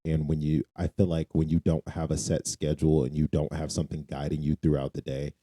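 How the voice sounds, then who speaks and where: A man's voice: very deep voice, level tone, brisk, warm tone, no echo, studio quality. A man co-hosting a podcast, talking casually about faith and repeating phrases for emphasis. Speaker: podcast co-host.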